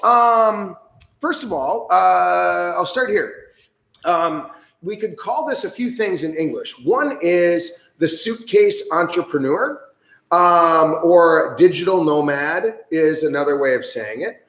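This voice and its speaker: Upbeat American host, higher voice, echoey. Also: male voice, lively intonation, slow pace, fairly bright tone. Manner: enthusiastic, chatty, many fillers. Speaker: livestream host. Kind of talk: casual livestream chat.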